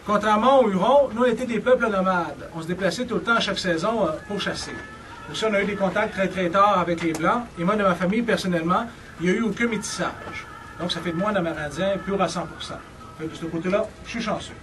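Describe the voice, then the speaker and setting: A Quebec-accented man guides a group of visitors, joking and teasing as he explains. His voice sits high for a man. The sound comes from a camera some distance away, with a loud wash of room noise behind him. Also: fast. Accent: Quebec French. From Quebec, Côte-Nord. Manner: playful, teases the audience. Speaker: cultural tour guide. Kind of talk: guided tour talk to visitors.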